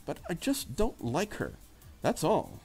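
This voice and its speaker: Guy doing a posh preppy english girl from a visual novel, prim n wordy, kinda high, music behind. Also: wildly swooping pitch, unhurried, darkish tone, slightly rough, exaggerated voice. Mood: reluctant, uneasy. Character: preppy English young woman, critical, prim, worried.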